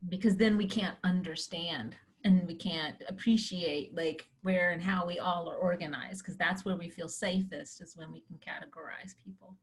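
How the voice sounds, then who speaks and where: A woman who hosts a counseling interview, speaking reflectively and affirming her guest, with her sentences often trailing off softly. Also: lowish voice, steady tone, moderate pace, few pauses. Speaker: host, counseling educator. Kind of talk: conversational interview.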